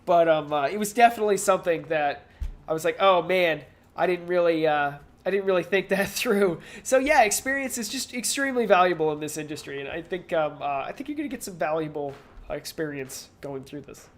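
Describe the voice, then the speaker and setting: Encouraging male co-host, slightly high voice. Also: expressive, brisk. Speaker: podcast co-host. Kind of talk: casual chat.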